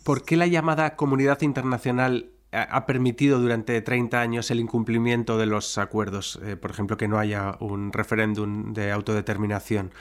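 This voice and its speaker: A man's voice: on the low side, little pitch movement, normal speed. A man working as an interviewer, putting political questions to a guest.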